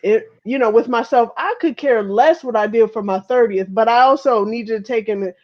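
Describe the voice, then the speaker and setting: A woman in her thirties from Chicago with an American accent speaks frankly and casually, swearing freely. Her voice is on the high side, and the recording is somewhat dull and lo-fi. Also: normal speed. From Chicago, United States. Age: 30s. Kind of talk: casual chat, personal reflection. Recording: dull lo-fi audio.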